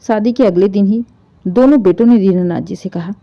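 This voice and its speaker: A woman's voice: mid-range voice, moderate pace, highs cut off. A woman narrating an emotional family story in a storyteller's style.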